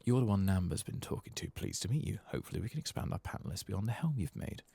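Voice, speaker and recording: A man softly voicing an earnest, polite leather armor craftswoman in a fantasy game. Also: sits low, animated, quick pace, creaky, slightly rough, dry recording, full character voice. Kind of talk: soft-spoken reading of game quest dialogue.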